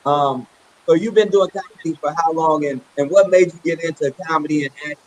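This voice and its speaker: A man's voice: on the high side, fairly quick, rough recording. A man hosting a comedy talk show, casually introducing guests and asking them interview questions. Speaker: host.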